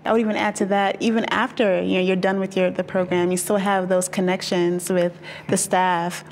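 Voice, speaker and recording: A young woman from Maryland, a graduate student, speaking earnestly and enthusiastically with thoughtful pauses. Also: mid-range voice, little pitch movement, slight background noise. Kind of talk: casual group interview.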